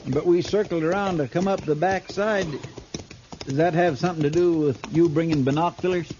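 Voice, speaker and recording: An actor playing an earnest, deferential frontier deputy, folksy and a little anxious, in 1950s radio drama style. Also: male voice, fairly high pitch, brisk, darkish tone, close to an everyday voice. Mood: puzzled, earnest. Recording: scratchy, muffled 1950s radio recording.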